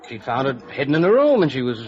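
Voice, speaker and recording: A man's voice: mid-range voice, very animated, fast, a little mellow, natural voice. An actor playing a stubborn, belligerent fiancé who defends his sweetheart, insistent and defensive, in 1950s radio drama style. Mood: defensive, indignant. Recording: dull vintage radio recording with music.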